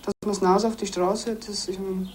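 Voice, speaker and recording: A teenage woman answering an interviewer, haltingly and repeating herself. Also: mid-range voice, flat delivery, darkish tone. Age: teens. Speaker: dental assistant. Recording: noisy film soundtrack.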